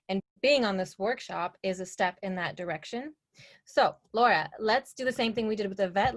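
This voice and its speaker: A woman hosting a live online workshop over a video call, reading guest introductions and viewer comments in an upbeat way. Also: mid-range voice. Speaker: host.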